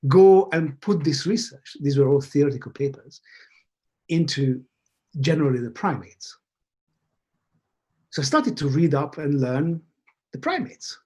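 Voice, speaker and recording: A man from Hungary, speaking as an academic guest in a halting, musing way that leans on key words, over a video call. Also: mid-range voice, dark tone, husky. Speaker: academic guest, behavioral scientist. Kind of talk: thoughtful interview conversation.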